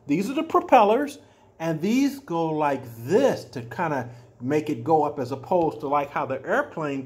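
An older man reading a children's picture book aloud as a storyteller, adding simple explanations with drawn-out emphatic words. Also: sits high. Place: small furnished room at home, indoors.

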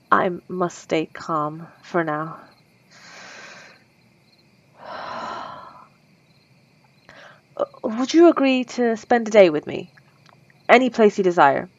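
A woman voicing a refined, possessive female vampire centuries old, speaking in a formal, old-fashioned way in a soft, intimate ASMR roleplay. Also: on the low side, dry recording, dull audio.

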